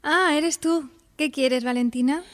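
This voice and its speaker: An actress plays an earnest young woman in a radio drama. Her voice is clearly high, and she speaks with determination in a theatrical style. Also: a little slow. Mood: guarded.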